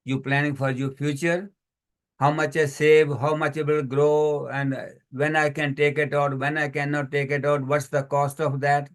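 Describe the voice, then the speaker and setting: A man's voice: a little high, little pitch movement, moderate pace. A man giving a workshop talk on money over a video call, listing examples one after another in non-native English phrasing. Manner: explains with many lists and examples. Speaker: financial educator. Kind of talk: community workshop presentation.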